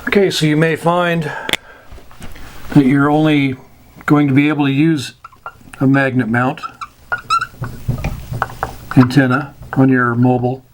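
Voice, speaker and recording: Slow-talking man giving a lesson. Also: mid-range voice, level tone, a little hiss or hum, rough recording. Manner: deliberate, halting, with long pauses. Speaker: instructor. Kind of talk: informal explanatory lesson.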